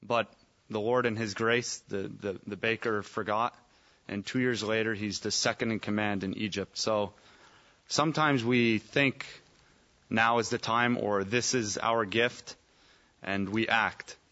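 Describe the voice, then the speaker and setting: A man with a lowish voice shares a thoughtful, hedging comment during a Bible study group. The recording is muffled and lo-fi. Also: steady tone, measured pace, bright, forceful tone.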